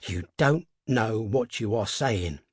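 A man voicing an anxious old husband with an unsteady, halting delivery in a dramatic storybook reading. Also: very high voice, very animated, darkish tone, slightly rough, no room sound, clean studio sound, pitched above the performer's own voice, full character voice.

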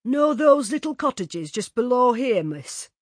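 A gossipy working-class delivery man who relishes every grim detail, put on by a woman reader. The voice is a little husky and recorded dry and close. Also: on the high side, very animated, measured pace, dry recording, pitched above the performer's own voice. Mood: relishing. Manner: relishing, chatty storyteller.

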